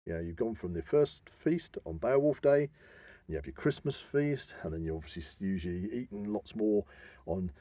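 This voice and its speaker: Mellow, husky middle-aged British man. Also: on the low side, animated, moderate pace, dry recording. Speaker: podcast co-host. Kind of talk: casual podcast chat.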